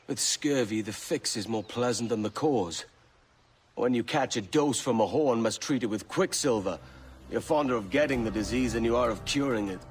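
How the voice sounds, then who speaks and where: An actor playing a roguish, self-serving young pirate captain in a video game, with a blunt, wry delivery. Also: male voice, medium pitch, moderate pace, lightly acted. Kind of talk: naturalistic video game acting.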